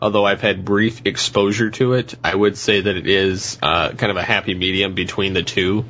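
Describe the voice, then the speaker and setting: A man speaking haltingly as a panelist in a casual podcast discussion. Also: low voice, steady tone, fairly bright tone, dull audio, a little hiss or hum.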